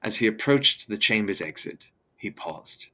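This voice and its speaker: Low, forceful male gothic narrator. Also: animated, a little slow, slight background noise. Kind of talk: dramatic scripted gothic narration.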